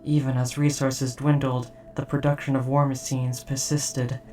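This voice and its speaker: A performer voicing an old, stoic, protective military robot in a formal, measured, literal, deadpan way for a scripted audio roleplay. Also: mid-range voice, far from the mic, faint music behind, natural voice. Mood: grave.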